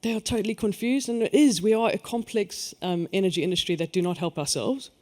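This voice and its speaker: A man's voice: high-pitched, a little fast, a little mellow. South African man, emphatic panel speaker. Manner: emphatic, lifts his voice on key points then trails off. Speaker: energy industry panelist. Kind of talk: conference panel discussion.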